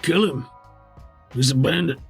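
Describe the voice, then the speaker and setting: A man voicing a blunt, ruthless adventuring companion in a tabletop game, terse and emphatic, with a raspy tone. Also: a little high, measured pace, pitched above the performer's own voice, exaggerated voice. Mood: stern, merciless. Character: blunt, ruthless companion urging death to bandits. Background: faint music.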